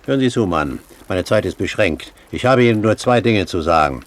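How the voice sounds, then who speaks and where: An actor playing a cool, haughty older gentleman pirate captain in a German radio play, speaking formally and with measured authority. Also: on the low side, expressive, close to an everyday voice. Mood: cool, commanding.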